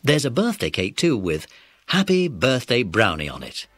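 A man's voice: mid-range voice, wildly swooping pitch, moderate pace, fairly bright tone, faint music behind. A man narrating a scripted children's story.

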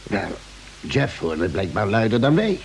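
Caring ship's doctor, lively intonation. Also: male voice, lowish voice, moderate pace. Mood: explaining. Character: calm, caring male spaceship doctor, gently firm. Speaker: radio drama actor. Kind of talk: vintage Dutch radio play acting. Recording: hissy old radio drama recording.

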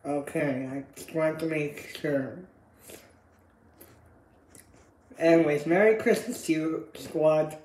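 A woman playing a gruff, casual male schoolteacher in an amateur audio roleplay. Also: deep voice, fairly even intonation, measured pace, darkish tone. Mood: stern, casual. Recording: roomy, echoing home recording.

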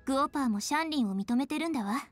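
A young game heroine with a high voice. The figure is composed, sincere and articulate, with faint music behind. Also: female voice, lively intonation, rapid-fire. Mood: admiring. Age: young adult. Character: poised, sincere young woman official, warmly appreciative. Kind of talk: Japanese game voice acting.

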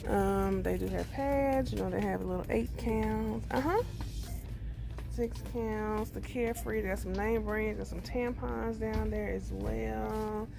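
A woman's voice: medium pitch, steady tone, measured pace, gravelly, music in the background. A middle-aged woman chatting casually to viewers as she films herself walking a store aisle on a phone. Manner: chatty, addresses viewers as y'all. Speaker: vlogger. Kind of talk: casual shop-with-me chat. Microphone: handheld phone.

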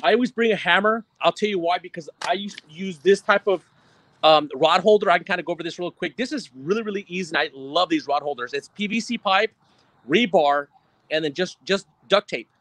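A man's voice: high voice, brisk, a bit bright, dry recording. Rambling man showing fishing gear. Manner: rambling, many fillers, swears casually. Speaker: guest angler. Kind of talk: casual gear walkthrough.

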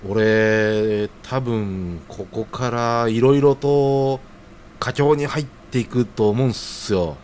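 An actor playing an earnest, flustered young office junior in love in an audio drama, eager and hesitant in casual polite speech. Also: male voice, medium pitch, fairly even intonation, slow pace, a little mellow, lightly acted. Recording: audible background noise.

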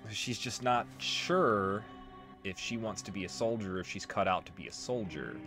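A man's voice: lowish voice, normal speed. A man giving casual commentary as he plays through a video game. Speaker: Let's Play commentator. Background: game music.

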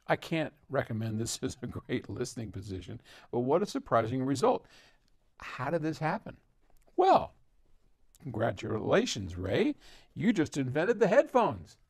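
An older man talks casually to camera, rambling through asides and laughing often. His voice sits at a middling pitch, and the lapel-miked sound in the listening room is close and dry. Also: darkish tone, dry recording. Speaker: host answering viewer questions. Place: large listening room with speakers.

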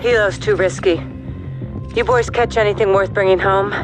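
Game intel handler, male actor: slightly high voice, crisp, matter-of-fact, music underneath. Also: steady tone, normal speed, close to an everyday voice, low-quality recording. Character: brisk, capable intelligence handler briefing soldiers. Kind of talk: video game briefing dialogue.

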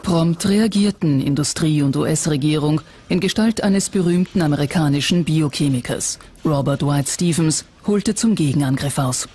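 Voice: mid-range voice, moderate pace, hardly any pauses, mellow, husky. A documentary narrator reading a scripted text in a factual style. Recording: narration over prominent music. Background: background music.

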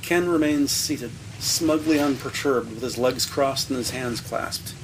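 A man's voice: mid-range voice, unhurried, background noise. A man reading scripted scene descriptions as the narrator of an audio drama.

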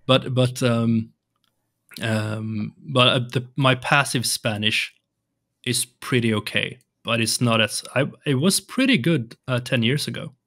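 A man's voice: mid-range voice, unhurried, a bit bright. A young man from Sweden, Swedish his first language, speaking English as a podcast guest in a home room on a studio mic, hesitant with many restarts. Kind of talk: casual interview chat. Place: home room with shelves and colored lights. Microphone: large studio mic on arm, headphones.

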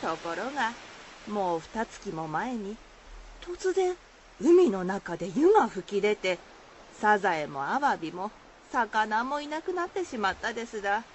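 Lively troubled village diver. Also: female voice, mid-range voice, unhurried. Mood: distressed, pleading. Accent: rural Shizuoka, Izu dialect. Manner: humble, earnest rustic speech. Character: troubled, plain-spoken village woman who dives for shellfish. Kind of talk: radio drama acting of a folktale. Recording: faint background noise.

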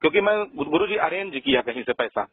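A man's voice: high voice, lively intonation, quick pace, slightly rough, no room sound. A man on a phone call, deferential but insistent and repetitive. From Lucknow, India. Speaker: caller. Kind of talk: phone conversation. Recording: tinny narrowband phone call recording.

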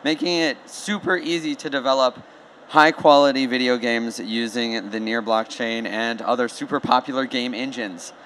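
A man's voice: medium pitch, fairly even intonation, moderate pace, fairly bright tone, a little hiss or hum. Upbeat male stage emcee. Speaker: event emcee. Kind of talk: stage announcing.

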